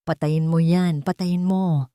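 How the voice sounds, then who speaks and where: A woman's voice: deep voice, fairly even intonation, slow pace, mellow, smooth, dry recording, clean studio sound. A woman voicing a guilt-ridden, paranoid army colonel in a dramatic audio story, with agitated outbursts. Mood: desperate.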